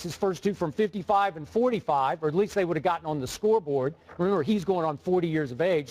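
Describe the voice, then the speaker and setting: High-voiced man arguing a sports take. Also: darkish tone. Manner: emphatic, argumentative, repeats words when pressing a point. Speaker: sports debate commentator. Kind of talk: opinionated sports debate.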